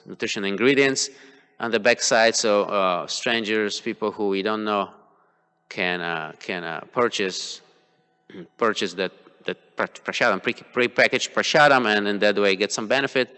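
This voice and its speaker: Polish-native guy giving a temple talk, lots of uhs and restarts, bright clear voice, big hall echo. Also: fairly low pitch. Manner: hesitant, many fillers and restarts. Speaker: temple class speaker. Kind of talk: devotional class talk, personal examples. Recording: long hall reverb.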